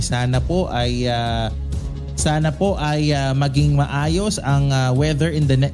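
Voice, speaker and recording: A man, anchoring radio news and chatting with his co-host, halting with many fillers. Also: mid-range voice. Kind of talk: radio news sign-off chat.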